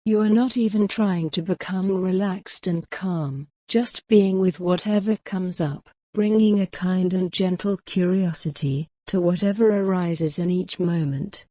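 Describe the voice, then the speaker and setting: A woman leading a guided mindfulness meditation in a gentle, soothing, measured way with long pauses. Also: on the low side, steady tone, dry recording, studio quality.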